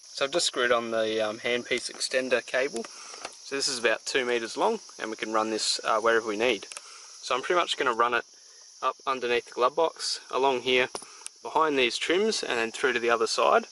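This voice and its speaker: A man, casually explaining a radio install step by step while working, with relaxed pauses. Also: mid-range voice, slight background noise, rough recording. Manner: relaxed, plain-spoken, frequent "uh" pauses. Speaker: DIY car install presenter. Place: outdoors beside and inside a vehicle.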